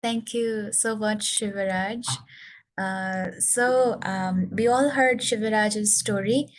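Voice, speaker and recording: A woman's voice: mid-range voice, fairly even intonation, takes it slowly, poor audio. A young woman from Nepal with a Nepali accent, native speaker of Newari, earnestly sharing her English learning story with many fillers. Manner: earnest, explanatory, frequent uh and um fillers. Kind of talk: prepared personal talk to learners.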